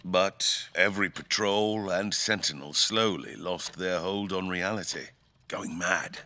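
Man acting a grave, deep-voiced fantasy king. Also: bright, forceful tone, full character voice. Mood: stern, somber. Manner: theatrical, deliberate, formal diction. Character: grave, imperious fantasy king, eloquent and brooding. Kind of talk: dramatic fantasy audio drama acting.

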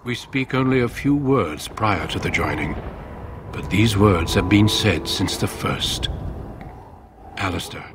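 An actor playing a solemn, commanding middle-aged warden leader in a fantasy game, speaking formally. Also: male voice, fairly low pitch, noisy background, lightly acted. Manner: grave, measured, formal. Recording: game dialogue over loud background ambience.

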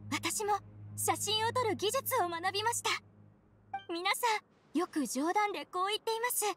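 A woman's voice: very high-pitched, moderate pace, a bit bright, faint music behind. A woman playing a polite, earnest, contented young society member in game dialogue.

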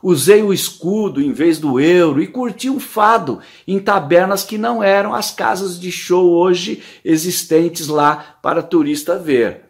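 A middle-aged Brazilian man with a Brazilian Portuguese accent, reading his written opinion column indoors into a phone camera, lively and emphatic with rhetorical questions. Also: high voice, measured pace, few pauses.

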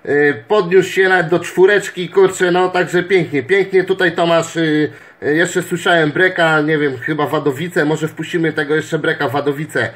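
A man's voice: on the high side, steady tone, normal speed, fairly bright tone. A man working as a radio operator, giving casual signal reports in a slightly hesitant, repetitive way. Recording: clear background noise and clicks.

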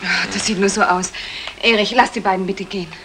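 An actress playing a proud but soft-hearted wife, courteous and coaxing, in a 1960s German crime radio play. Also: female voice, medium pitch, fairly even intonation, a little fast, lightly acted. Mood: gently persuading. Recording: noisy vintage 1960 radio recording.

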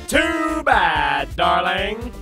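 A flamboyant, theatrical robot showman, high and swooping in pitch and slow, savoring every word. Backing music plays clearly behind the voice. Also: big pitch swings, full character voice. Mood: gloating. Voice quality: dramatic, drawn-out theatrical delivery. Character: flamboyant male TV-host robot, theatrical villain. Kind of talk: fan voice acting of a game.

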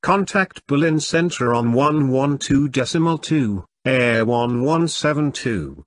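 Male voice for pilot phraseology lesson, mid-pitched, unhurried, formulaic delivery. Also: expressive, no room sound. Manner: measured, formulaic. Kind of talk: scripted radio phraseology readback.

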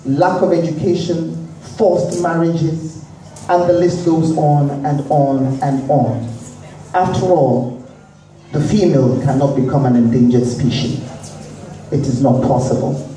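A woman introduces a speaker from a conference stage in a deliberate, solemn way. Her voice is soft-edged and mellow. It comes through heavy hall echo, captured far from the mic with some noise behind it. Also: low voice. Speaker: event presenter introducing the keynote speaker. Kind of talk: formal introduction speech at a conference. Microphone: handheld PA mic, picked up by distant audience camera.

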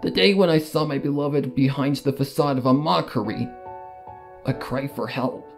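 A man's voice: mid-range voice, normal speed, gravelly. Man solemnly narrating over music. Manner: solemn, theatrical. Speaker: fan fiction narrator. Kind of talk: dramatic first-person story reading. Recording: music behind the voice.

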